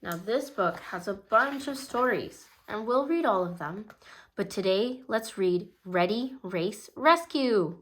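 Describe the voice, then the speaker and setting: A woman narrating a kids' picture book in a calm, gentle read-aloud voice of middle pitch. She keeps an easy, steady storytelling pace and now and then trips over a word and fixes it. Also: slow. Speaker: storybook reader.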